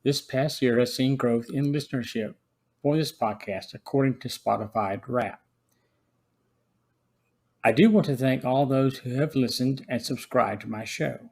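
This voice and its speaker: A man's voice: medium pitch, measured pace, creaky, darkish tone. A man hosting a podcast, reading scripted narration and commentary. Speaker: podcast host.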